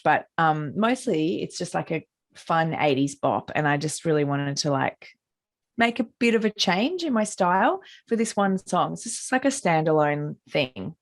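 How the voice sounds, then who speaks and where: A middle-aged woman with an Australian accent talks casually about her music in a low, husky voice with plenty of gravel in it. She rambles through her answers with frequent fillers and self-corrections, heard over a video call. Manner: rambling, many likes and restarts. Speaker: musician interviewee.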